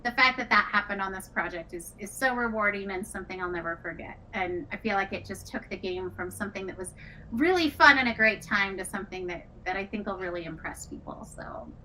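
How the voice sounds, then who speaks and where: A woman's voice: mid-range voice, brisk, pushed and bright. A woman who works as a game writer and director, chatting casually in an earnest, reflective manner. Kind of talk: casual developer chat. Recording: clearly noisy background.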